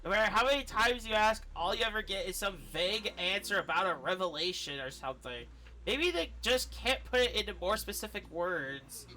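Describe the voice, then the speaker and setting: A woman streaming a game, giving expressive, casual commentary and reading dialogue aloud, sometimes swearing. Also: medium pitch, a little fast, a little hiss or hum. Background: faint video game music.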